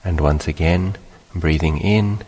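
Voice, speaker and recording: A man leading a guided meditation as a teacher, speaking in a calm, unhurried, instructional way with long pauses. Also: low-pitched, husky. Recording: long hall-like reverberation.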